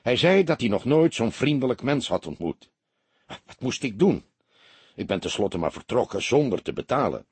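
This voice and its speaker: A man's voice: mid-range voice, big pitch swings, moderate pace, husky, no echo. A man voicing an earnest, polite businessman explaining himself in an audiobook. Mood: amused, chatty. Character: earnest, round-faced businessman giving his account.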